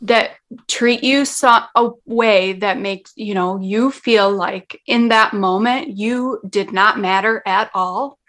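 A woman's voice: mid-range voice, takes it slowly, a bit bright. A woman guest in casual conversation, talking earnestly and rambling with lots of fillers. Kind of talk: casual chat.

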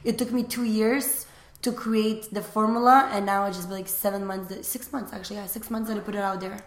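A woman's voice: mid-range voice, a little fast. A young woman from Lithuania, chatting casually as an interview guest about her small business, with lots of fillers. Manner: relaxed, chatty, many fillers. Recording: echoey room.

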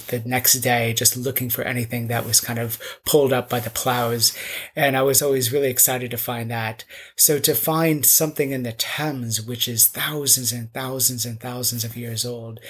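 A man's voice: medium pitch, fairly bright tone, slightly rough. A middle-aged man from North Carolina, answering interview questions with enthusiasm and frequent fillers. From North Carolina, United States. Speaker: guest, mudlarker.

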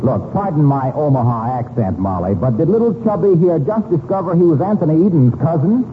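A man's voice: fairly high pitch, animated, fairly quick, natural voice. An actor playing a brash, chummy American salesman with a breezy, slangy manner, in 1940s radio comedy style. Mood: miffed. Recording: tinny, slightly noisy 1940s radio recording.

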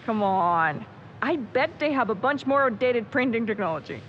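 A woman's voice: a little high, expressive, moderate pace, close to an everyday voice. A woman playing an upbeat, teasing young sleuth's sidekick in an audio drama, coaxing and playful. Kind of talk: naturalistic audio drama acting. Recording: dull sound, faint noise.

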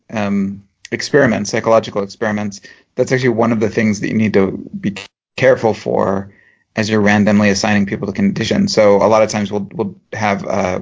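A man, a professor co-hosting a podcast, explaining ideas in a measured way with dry humour. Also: on the low side, lo-fi sound. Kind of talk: explanatory chat.

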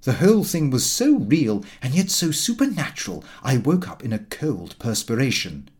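Expressive man narrating, very lively pitch. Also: normal speed, breathy edge. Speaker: audiobook narrator. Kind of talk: scripted first-person narration of a comic diary.

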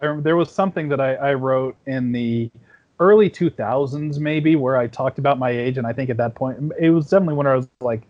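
A man's voice: medium pitch, brisk, darkish tone, muffled recording. A man, around his forties, talks as a podcast guest in a rambling, easygoing way, full of restarts and fillers. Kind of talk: casual conversation. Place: home at night.

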